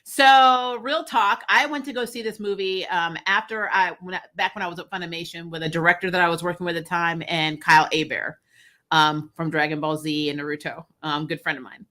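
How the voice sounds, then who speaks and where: Bright-voiced woman, blunt and sarcastic. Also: fairly low pitch, steady tone, fairly quick. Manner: blunt, sarcastic, slangy, laughs through stories. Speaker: channel host reviewing films. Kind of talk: casual opinionated film discussion.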